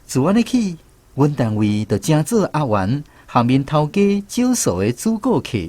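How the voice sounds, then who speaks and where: An actor narrating as a former conscript in a radio drama, telling scripted first-person memories with a Taiwanese accent. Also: male voice, a little high, sing-song, normal speed, warm tone, no room sound. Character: wry former conscript narrating his island army memories. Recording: music bed under narration.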